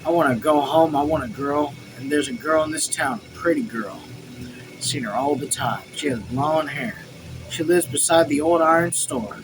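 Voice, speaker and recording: A man voicing an overwrought lieutenant from a novel, anguished, homesick and quick to rage. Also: a little high, over music, close to an everyday voice, rough recording. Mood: broken, longing.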